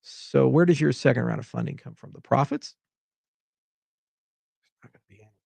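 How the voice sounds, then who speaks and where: A man's voice: mid-range voice, moderate pace, gravelly, mellow, breathy edge, no echo. A man from Hawaii delivering an exasperated, rambling unscripted monologue as a tech founder giving commentary.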